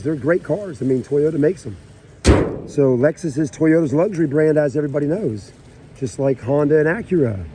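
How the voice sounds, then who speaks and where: A man with a sore throat and a raspy voice, giving a casual, rambling walkaround tour of a car for sale on a dealership lot. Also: a little high, darkish tone, slight background noise. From South Carolina. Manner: rambling and chatty, many fillers and asides.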